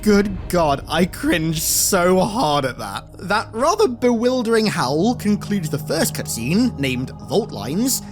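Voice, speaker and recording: A male host gives energetic scripted commentary on a video game's story. His pitch moves around a lot, keeping the delivery animated. Faint music plays underneath his voice. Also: slow, few pauses. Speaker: YouTube host recapping video game story.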